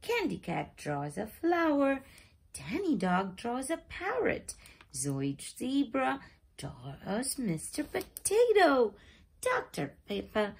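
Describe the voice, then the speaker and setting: A woman reading a children's picture book aloud in a slow, expressive storybook manner. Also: on the high side, gravelly, breathy edge. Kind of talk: read-aloud narration.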